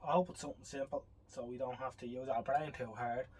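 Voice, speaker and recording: A man's voice: medium pitch, steady tone, fast, a little mellow. An Irish man gives casual hands-on repair commentary in a wry, self-deprecating way, muttering to himself as he works. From Ireland. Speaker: electronics repair hobbyist presenting. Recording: clearly noisy home video recording.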